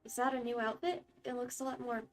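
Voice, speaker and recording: A woman streaming a game, reacting casually in a quiet, affectionate manner. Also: fairly high pitch, level tone, quick pace.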